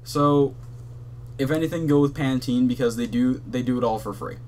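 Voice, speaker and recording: A young man with a monotone voice, rambling casually with lots of fillers and swearing as the host of a gaming channel, talking from his bedroom. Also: mid-range voice, moderate pace, a little hiss or hum. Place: hot bedroom at his console.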